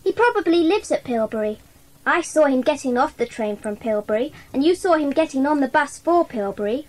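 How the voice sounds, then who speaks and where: Earnest high-voiced child sleuth. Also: female voice, animated, fairly quick, darkish tone, low-quality recording. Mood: reasoning. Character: earnest, reasoning child member of detective club. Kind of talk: dramatised children's audiobook acting.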